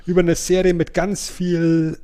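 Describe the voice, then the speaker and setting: A man with a slightly high voice co-hosts a podcast. He talks informally and colloquially, often repeating a word to drive his point home. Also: level tone, unhurried, dark tone, smooth. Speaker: podcast co-host. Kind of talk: casual podcast chat.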